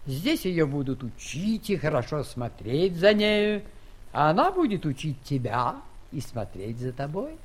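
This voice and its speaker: An actress playing an imperious, playful giant queen in a theatrical, deliberate manner for a children's audio play. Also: low voice, big pitch swings, breathy edge, heavily acted. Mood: gracious command.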